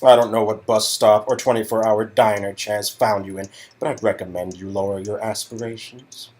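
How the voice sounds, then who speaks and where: A man's voice: fairly low pitch, fairly even intonation, moderate pace, a little mellow, close to an everyday voice. A man playing an arrogant, impatient talent agency boss in a comic dialogue reading, brusque and sneering. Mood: scornful, impatient.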